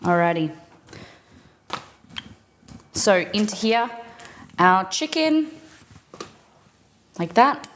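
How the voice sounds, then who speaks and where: A woman's voice: mid-range voice, expressive, extremely slow, pauses often, a little hiss or hum. Chatty Australian woman, cooking stream. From Perth, Western Australia. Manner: chatty, with frequent "um" and "like" fillers. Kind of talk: casual live-stream chat while cooking.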